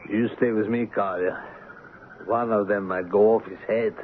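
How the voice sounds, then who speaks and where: An actor playing a pompous, callous ivory station agent with an imperious, scornful manner in a 1970s radio drama. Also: male voice, on the low side, fairly even intonation, moderate pace, natural voice. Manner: imperious, scornful, pulling rank. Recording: noisy, tinny 1970s radio broadcast.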